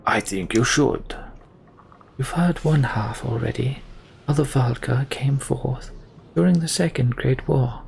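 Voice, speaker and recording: A man voicing a sly woman storyteller in a video game, theatrical and measured with hushed, breathy phrasing. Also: mid-range voice, slight background noise. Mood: theatrical, knowing.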